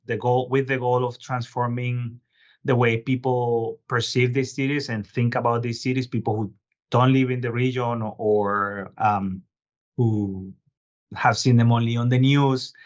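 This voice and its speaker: A middle-aged man from Colombia, a guest urban planner giving measured explanatory answers with frequent filler sounds. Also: medium pitch, flat delivery, muffled recording. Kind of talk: podcast interview answers.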